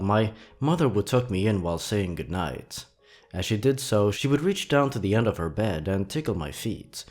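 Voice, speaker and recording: A man narrating scripted creepy stories in a dramatic, suspenseful, theatrical way with drawn-out pauses. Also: lowish voice, darkish tone. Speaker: horror story narrator and channel host.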